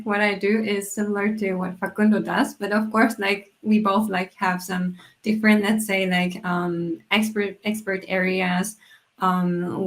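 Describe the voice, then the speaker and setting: A woman's voice: mid-range voice, vocal fry. A woman from Japan, co-hosting a casual developer livestream in a chatty, loosely hedging way. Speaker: developer relations engineer, co-host. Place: home setup in Germany.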